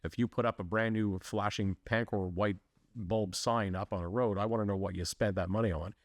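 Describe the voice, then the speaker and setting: A man's voice: lowish voice, fairly even intonation, fairly quick, no echo, studio quality. A man running for local council, answering interview questions earnestly, with frequent fillers and restarts. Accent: Canadian English. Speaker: municipal election candidate.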